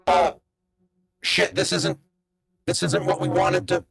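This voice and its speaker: An actor playing an anonymous would-be menacing figure who speaks haltingly through a voice modulator in an audio drama. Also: medium pitch, monotone, creaky, heavily acted. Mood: uneasy.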